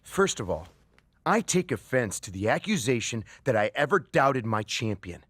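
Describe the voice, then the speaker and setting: A man's voice: mid-range voice, big pitch swings, normal speed, fairly bright tone, no room sound. A man playing a boastful, defensive, sneering wrestling show manager in a scripted game cutscene. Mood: smug, defensive.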